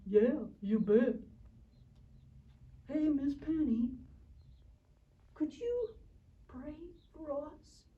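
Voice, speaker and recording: A woman's voice: high voice, sing-song, drawn-out words, long pauses, exaggerated voice. Lady doing a curious little frog puppet, childlike n wide-eyed, swoopy voice, muffled n far from the mic. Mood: touched, grateful. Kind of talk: children's church puppet show.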